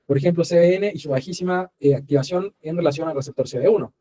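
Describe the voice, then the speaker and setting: Fast-talking Chilean man lecturing. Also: on the high side, mellow, slight background noise. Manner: fast, halting, with many fillers. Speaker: guest speaker. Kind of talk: online lecture presentation.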